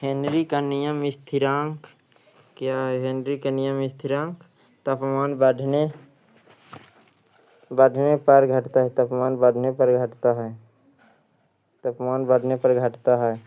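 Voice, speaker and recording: Man, Indian accent, coaching teacher, mellow tone, even pitch, quiz read-aloud, repeated phrases. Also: takes it slowly, long pauses, clean tone. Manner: repeats questions and answers. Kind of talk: reading quiz questions and answers aloud.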